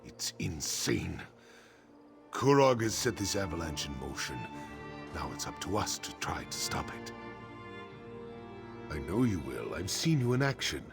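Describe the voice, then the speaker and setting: An actor voicing a grave, resolute orc clan chief in a fantasy game, speaking in a solemn, measured, declamatory way over music. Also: male voice, deep voice, very animated, creaky, heavily acted. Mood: grave, urgent. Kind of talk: dramatic fantasy game dialogue.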